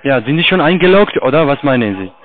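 A man with a foreign accent, not a native German speaker, giving halting phone instructions in broken German as a call-centre agent. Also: fairly high pitch, big pitch swings. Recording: narrow, tinny phone line.

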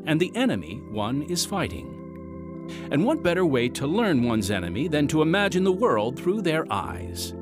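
A man narrating a scripted radio drama as announcer, polished and emphatic. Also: on the high side. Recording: music under the voice.

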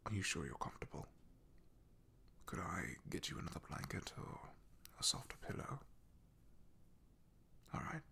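A man playing an awkward, loyal knight in a soft ASMR fantasy roleplay, half-whispering in a breathy, gravelly voice with a hesitant, apologetic, deferential manner. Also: low voice, very animated, full character voice. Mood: nervous, eager-to-please. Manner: hesitant, apologetic, halting with throat clearing.

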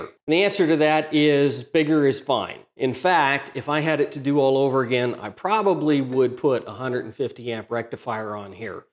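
Methodical middle-aged man explaining workshop tech. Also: mid-range voice. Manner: methodical, plain-spoken, technical. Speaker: DIY welding channel host. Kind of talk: explanatory tutorial talk to camera. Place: home workshop, indoors.

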